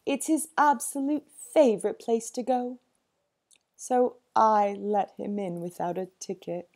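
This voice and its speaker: A woman's voice: medium pitch, steady tone, unhurried, close to an everyday voice. Woman doing a kind, earnest zookeeper. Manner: warm, earnest, firm. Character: kind, protective male zookeeper, adult.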